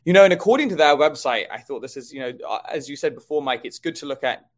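A man's voice: fairly high pitch, expressive, fast. A man co-hosting a stock market show, giving conversational commentary on companies and markets.

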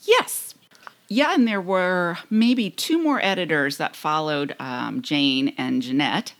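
A woman's voice: low voice, takes it slowly, a little hiss or hum. An American woman in her fifties co-hosting a podcast, sharing trivia in casual conversation. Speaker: podcast co-host.

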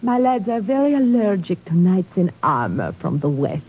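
Radio-drama villainess: icy, taunting commander, animated delivery, long reverb on a dull vintage recording. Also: female voice, lowish voice, normal speed. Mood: smug, taunting. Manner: taunting, imperious, theatrical. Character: cold, mocking female spy chief and villain. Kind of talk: 1950s radio drama acting.

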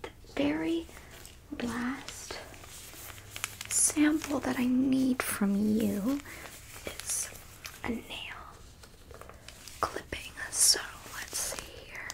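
Woman doing a smug alien examiner for asmr, slow whispery talk, coy and clinical. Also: high voice, heavily acted, poor audio. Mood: clinical, focused. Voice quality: soft, breathy whisper. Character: smug alien abductor collecting specimens, coolly clinical and curious. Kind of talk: ASMR roleplay.

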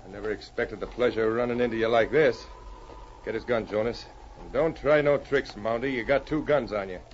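An actor playing a tough, cocky gang boss of the frontier, folksy and rough, giving orders in a 1940s radio drama on a dull old recording. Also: male voice, medium pitch, a little fast, slight background noise, natural voice. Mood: menacing, commanding. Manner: folksy rough Western speech, barking orders.

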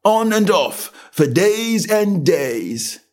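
A man voicing a dull, solemn frog footman who speaks in a slow, unbothered drawl. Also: high voice, big pitch swings, a little mellow, pitched above the performer's own voice, heavily acted.

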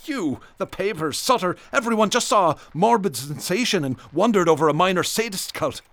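A haunted police detective in a radio drama, his voice pitched a little high. He is bitter and obsessive, speaking in florid, brooding phrases with theatrical weight. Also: lively intonation, brisk, fairly bright tone, no echo. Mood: scornful.

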